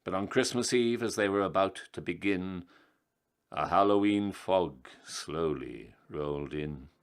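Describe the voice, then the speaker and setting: A man with a low voice reads a rhyming tale as a storyteller. He takes his time and declaims with dramatic, emphatic stresses. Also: unhurried.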